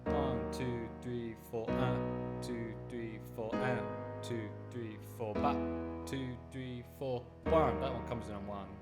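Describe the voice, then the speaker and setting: Piano teacher, male, slight cold, talks through chords and counts beats, loud piano playing under his voice. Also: medium pitch, fairly even intonation, slow. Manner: explains chords step by step, counting beats aloud. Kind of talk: casual piano tutorial. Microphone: USB studio microphone.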